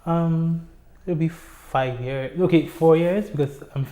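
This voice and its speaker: Young man from Nigeria, dark mellow tone, roomy echo, hesitant and casual. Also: fairly high pitch, takes it slowly. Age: young adult. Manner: relaxed, hesitant, many fillers. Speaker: oral history interviewee. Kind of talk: casual personal storytelling. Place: community center room.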